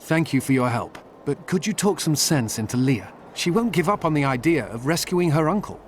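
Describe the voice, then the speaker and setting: A man's voice: fairly high pitch, expressive, normal speed, soft background music, close to an everyday voice. An actor playing a weary, commanding male town guard captain in a video game, urgent and direct. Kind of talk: video game dialogue voice acting.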